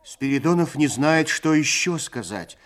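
A man narrating a literary story for a radio play in a measured, expressive storytelling manner. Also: on the high side.

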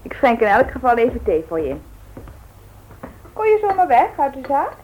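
A woman's voice: high-pitched, wildly swooping pitch, normal speed, dull audio. An actress in a Dutch radio play, voicing a chatty, attentive young woman. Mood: chatty, helpful. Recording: old radio recording with faint noise.

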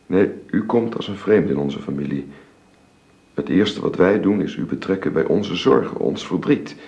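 An actor playing a guilt-ridden married gentleman in a radio drama, speaking formally and haltingly with repeated words. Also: low-pitched, animated, highs cut off. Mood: grave, pleading.

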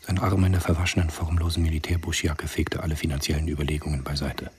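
A man reading scripted narration for a German radio drama in a gravelly, husky voice. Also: extremely low pitch, level tone, quick pace, darkish tone, a little hiss or hum.

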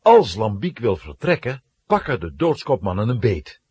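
A man's voice: on the low side, sing-song, moderate pace, a little mellow, slightly rough. A man narrating a scripted children's audio play in a dramatic storytelling manner.

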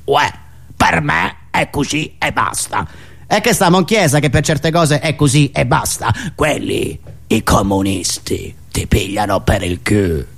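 A man's voice: medium pitch, animated, measured pace, creaky, fairly bright tone, pitched below the performer's own voice, heavily acted. A man voicing a gullible, stubborn grassroots party militant in a satirical monologue, colloquial and defensive, with a Roman accent. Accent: Roman Italian.

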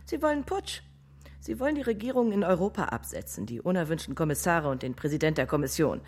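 A woman's voice: lowish voice, lively intonation, fast talker, darkish tone, slightly rough. An actress playing a foreign woman who speaks broken German and urgently warns of a coup in a radio crime play. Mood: grave. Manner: broken German with missing words, grave and insistent. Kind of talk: radio drama acting.

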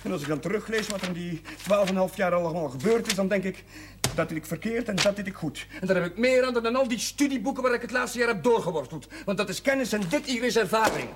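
A man's voice: sits high, expressive, fast. An actor playing an earnest, touchy chaplain, hesitant and defensive with his sister in naturalistic television drama dialogue. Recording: faintly noisy 1970s television soundtrack.

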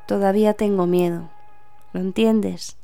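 A woman's voice: mid-range voice, fairly even intonation, measured pace, gravelly, mellow, smooth, voice pushed up. An actress in a radio drama voicing an anxious young woman who speaks in a hesitant, worried way, with music underneath.

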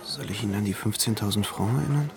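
An actor in a radio drama playing a brooding young gentleman, introspective and restrained, voicing his thoughts. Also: lowish voice, fast, gravelly, crowd noise. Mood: weary, restrained. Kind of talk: German radio drama acting.

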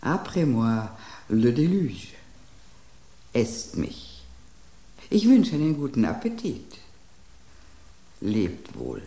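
An actor playing a theatrical wine cream soup that bids a grand, resigned farewell before it is eaten, in a radio play. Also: male voice, mid-range voice, huge pitch swings, takes it slowly, long pauses, mellow, echoey room, heavily acted. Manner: grand, melancholy farewell with French phrases.

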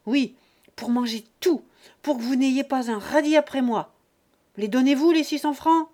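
A man's voice: very high voice, moderate pace, darkish tone, voice pushed up. A woman reading aloud and voicing an old, domineering peasant father who roars threats at his children. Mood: furious. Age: older. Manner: roaring, threatening.

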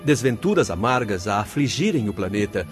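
An actor playing a compassionate, grave spirit counsellor in a radio drama, with a formal, oratorical delivery. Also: male voice, on the low side, big pitch swings, a little fast, soft background music.

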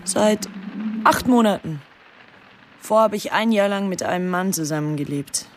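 A woman's voice: lowish voice, moderate pace, slight background noise, close to an everyday voice. An actress playing a frank, sardonic, world-weary young woman in a German radio drama. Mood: wry. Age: young adult.

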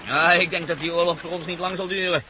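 An actor playing a grumbling, worried adventurer's companion in a radio play, grudging and sardonic. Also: male voice, fairly high pitch, fairly even intonation, quick pace, background noise, close to an everyday voice. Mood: sardonic, gloomy.

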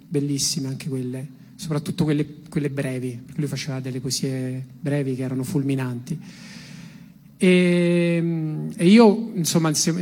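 A man, sharing personal memories in a colloquial, halting way, searching for words. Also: fairly high pitch, a little mellow. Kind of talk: informal personal recollection.

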